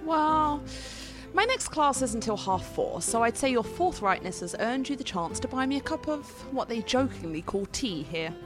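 A woman's voice: high-pitched, animated, moderate pace, over music. A woman acting in a radio play as a witty, self-possessed young student with a wry manner. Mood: teasing, wry. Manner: wry, teasing.

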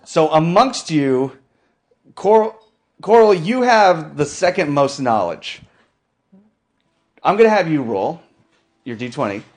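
A man's voice: on the high side, big pitch swings, slow, long pauses. A man narrating as game master with playful, theatrical introductions.

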